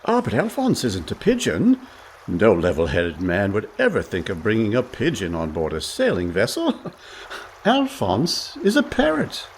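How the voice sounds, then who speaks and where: A man acting as a cajoling swindler and older brother in a radio comedy. His pitch swoops dramatically as he talks in a glib, theatrical, breezy way. Faint noise sits behind the voice. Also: huge pitch swings, unhurried, exaggerated voice. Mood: glib, persuasive. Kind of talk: comic radio play acting.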